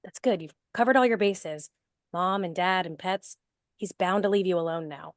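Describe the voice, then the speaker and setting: A woman's voice: fairly low pitch, normal speed, lightly acted. A woman acting a supportive, blunt young best friend in a table read of a horror film script. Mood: breezy, encouraging.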